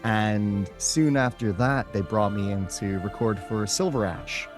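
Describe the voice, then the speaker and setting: A man's voice: on the low side, measured pace, music in the background. A man chatting casually as an interview guest, playful and a touch theatrical in how he puts things.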